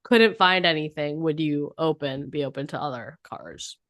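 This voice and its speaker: A woman's voice: sits low, moderate pace. A woman co-hosting a casual podcast chat, talkative and laughing easily. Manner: chatty, laughing, animated. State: recovering from a head cold. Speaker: podcast co-host.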